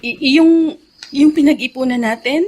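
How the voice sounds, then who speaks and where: A woman acting a worried, devoted adult daughter in a Filipino radio drama, earnest and gentle. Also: sits high, measured pace. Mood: concerned, surprised.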